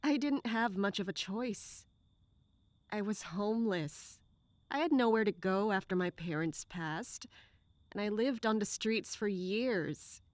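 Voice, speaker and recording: A woman playing a weary, bitter guard who grew up on the streets, slow, downcast and self-reproaching, in an audio drama. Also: mid-range voice, no room sound, close to an everyday voice. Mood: defensive, weary.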